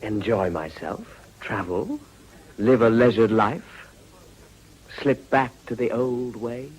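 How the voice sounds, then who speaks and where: An actor with a British accent playing an urbane, stoic politician, slightly husky, courteous and understated in a restrained drama style. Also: male voice, mid-range voice, slow, natural voice, rough recording. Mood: wry resignation. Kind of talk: restrained television drama acting.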